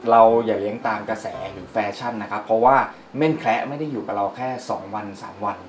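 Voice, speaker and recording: A man's voice: lowish voice, rapid-fire. A man giving earnest, polite advice as an expert guest. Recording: roomy with faint music.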